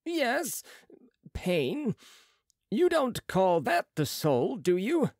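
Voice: mid-range voice, huge pitch swings, drawn-out words, no echo, clean studio sound, voice pushed up, full character voice. A reader voicing a proud, sneering young male surgeon who talks loftily in an audiobook. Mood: lofty. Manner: boastful, lofty, sharp retorts. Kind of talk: audiobook character reading.

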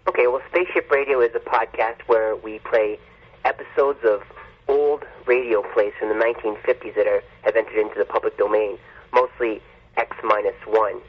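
A man's voice: fairly low pitch, fairly even intonation, normal speed, breathy edge, lo-fi sound. A man chatting casually as a podcaster being interviewed. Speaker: podcaster guest.

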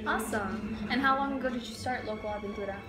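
A woman's voice: a little high, fast talker, over a noisy crowd. A young woman hosting a casual, conversational interview. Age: young adult. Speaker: interviewer and vlog host. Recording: music behind the voice.

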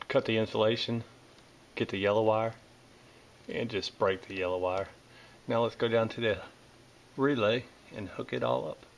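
A man's voice: on the low side, slight background noise. A man with a Southern American accent, an HVAC technician, explaining a furnace wiring job step by step with plenty of uh fillers, filmed on a handheld camera indoors. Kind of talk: hands-on repair walkthrough. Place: indoors at a furnace in a basement or utility space. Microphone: handheld camera filming close-up work.

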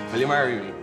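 A young man competing on a talent show, talking earnestly and gushing with restarts. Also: mid-range voice. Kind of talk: eager unscripted interview chat. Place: TV studio audition stage. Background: music.

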